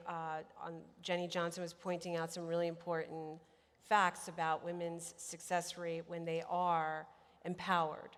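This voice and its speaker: A woman moderating a panel, graciously thanking the speakers and posing measured questions. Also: lowish voice. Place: large hotel conference hall. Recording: reverberant conference hall.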